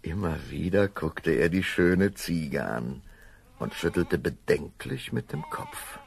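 A man with a deep, gravelly voice narrating a fairy tale for a children's audio play. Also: lively intonation, slow.

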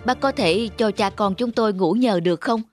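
A woman's voice: medium pitch, normal speed, soft background music, natural voice. A woman voicing a humble cartoon father, speaking politely as he makes a request. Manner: polite, pleading. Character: humble stranded father politely asking for shelter. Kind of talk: cartoon voice acting.

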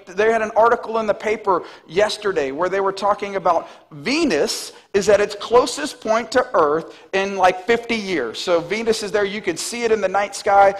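High-voiced man preaching in a hall. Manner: animated preaching, walking the stage. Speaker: pastor. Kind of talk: sermon. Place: large church stage, indoors. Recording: long hall reverb.

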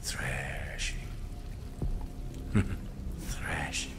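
A man's voice: extremely low pitch, level tone, very slow, exaggerated voice. A man playing a mocking, sinister Nazi interrogator in a war video game, theatrical and amused, at times whispery. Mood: mocking, menacing. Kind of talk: cinematic video game villain acting. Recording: loud rain-like noise behind.

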